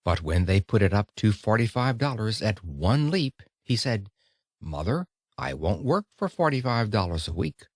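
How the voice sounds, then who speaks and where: Animated male audiobook narrator. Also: fairly low pitch, moderate pace, no echo, clean studio sound. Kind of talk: scripted audiobook narration of a speech.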